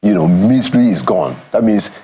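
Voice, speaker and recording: A Nigerian man answering as a guest in a TV studio interview, speaking in a measured, thoughtful way and pausing to find his words. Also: lowish voice, expressive, mellow, slightly rough. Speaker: studio guest, former government aide. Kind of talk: morning TV interview.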